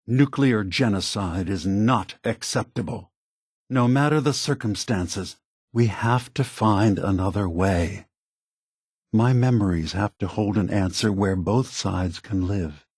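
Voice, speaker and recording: A solemn synthetic leader in a video game. His low, slightly husky voice moves very slowly and gravely. He sounds weary and diplomatic, choosing each word with measured care. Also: darkish tone, dry recording, clean studio sound. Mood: grave resolve. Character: weary, solemn android leader of a refuge, male. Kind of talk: scripted game dialogue.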